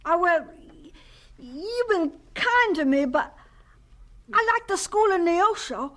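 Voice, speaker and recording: A very high, slow-speaking young boy for radio drama. He is earnest and polite, hesitating over his words with a shy curiosity. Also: expressive, a bit bright, exaggerated voice. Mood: troubled, hesitant. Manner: hesitant, halting, stammering.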